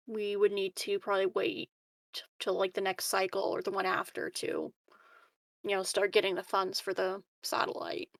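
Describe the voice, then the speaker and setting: A woman of average pitch gives her view during a roleplaying game. Her talk is informal and loosely phrased, with plenty of casual filler. The recording is close and free of room sound. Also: fairly bright tone, dry recording. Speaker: tabletop roleplaying player.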